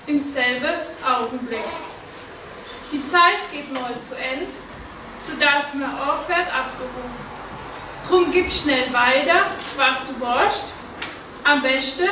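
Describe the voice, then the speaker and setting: A young woman with a Banat Swabian accent reciting a rhyming dialect poem in measured rhythm, recorded from far off in a large hall. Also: sits high. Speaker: poetry reciter. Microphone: far camera. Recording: echoey hall from far off, noise.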